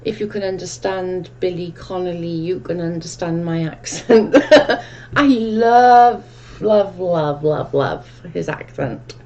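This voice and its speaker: Slow-talking Welsh woman chatting. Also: on the low side, a little mellow, highs cut off, slight background noise. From Wales. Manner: chatty, reads out comments and answers them at length. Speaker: livestream host. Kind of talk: casual chat answering viewer comments.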